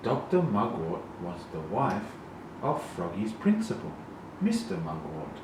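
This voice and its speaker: Man, children's storybook narrator, slow expressive delivery, emphatic sound words, distant noisy recording. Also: fairly low pitch. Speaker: storybook reader. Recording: very echoey, distant, noisy room.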